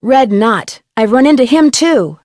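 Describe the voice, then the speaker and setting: A woman's voice: on the high side, animated, a little slow, darkish tone, no echo, clean studio sound. An actress playing a confident, inquisitive young woman detective in an adventure video game, speaking in an assured, articulate way. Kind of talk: game character dialogue acting.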